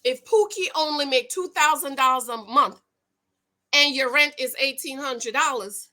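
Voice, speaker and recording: A woman with a high voice that rings bright and sharp. She talks loud and excitable, her voice carrying, and she preaches in an animated way with lots of emphatic repetition. She reads a news story aloud and comments on it as she goes. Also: moderate pace. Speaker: YouTube commentator on money and marriage. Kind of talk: casual commentary reading and reacting to a news story.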